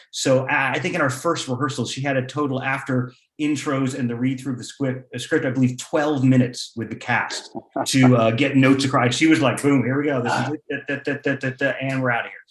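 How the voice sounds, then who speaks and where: A man speaking casually as a panelist, telling things in an informal, animated way in a roomy recording. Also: medium pitch. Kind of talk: casual panel discussion remarks. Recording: echoey, roomy sound.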